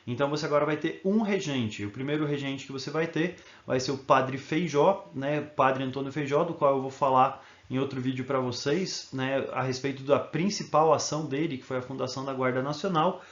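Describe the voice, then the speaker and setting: A man's voice: mid-range voice, a little fast, few pauses, roomy sound, dull audio. A male history teacher giving a recorded video lesson, explaining in an informal way and often checking in with tag questions.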